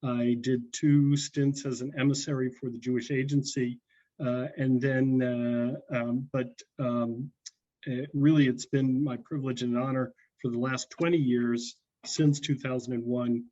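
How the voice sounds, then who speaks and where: A man in his sixties from North Carolina, speaking to a congregation over a video call with many pauses and fillers. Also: medium pitch, little pitch movement, warm tone. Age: 60s. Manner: halting, many ums and uhs. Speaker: guest speaker. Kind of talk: personal talk to a congregation. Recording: dull lo-fi video call audio.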